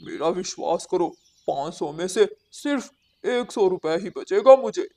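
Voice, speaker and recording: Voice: mid-range voice, animated, a little slow, darkish tone. An actor voicing a hardworking, polite male crow house painter in a children's cartoon, earnest and reassuring. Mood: earnest, grateful. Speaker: cartoon character voice. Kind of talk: Hindi children's cartoon dialogue.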